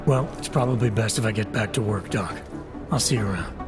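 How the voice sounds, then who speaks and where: An actor playing a guarded, terse augmented security agent with an American accent, in a game cutscene. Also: male voice, lowish voice, a little fast, natural voice. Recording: game cutscene with loud music.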